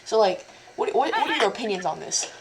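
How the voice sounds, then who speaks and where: A woman's voice: medium pitch, very animated, a little fast, a little hiss or hum. A woman interviewing a stranger on a casual video chat, rambling and stumbling with many likes and restarts. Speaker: interviewer, cosplayer.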